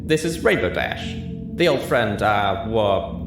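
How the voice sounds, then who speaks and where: A man's voice: on the low side, animated, slow, vocal fry, roomy sound, music in the background, full character voice. A man voicing a plain-spoken, exasperated country mare with a folksy, dry manner in a story reading.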